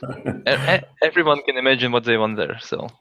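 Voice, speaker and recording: A man's voice: mid-range voice, moderate pace, sharp tone, no echo. A man hosting a casual tech show, reading out listings and thinking aloud as he goes. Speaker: podcast host. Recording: dull lo-fi sound.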